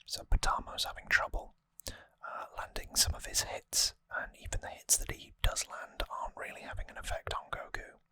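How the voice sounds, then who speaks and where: An ASMR host speaking entirely in a breathy whisper close to the microphone, softly and informally explaining a story recap. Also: moderate pace. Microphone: close microphone, dry sound.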